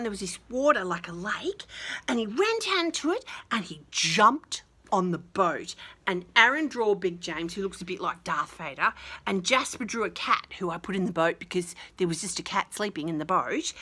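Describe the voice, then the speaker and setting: Animated, bright-voiced woman reading to children. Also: mid-range voice, husky. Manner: expressive, dramatic, chatty with child listeners. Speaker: children's story reader. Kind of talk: animated picture-book read-aloud with casual asides.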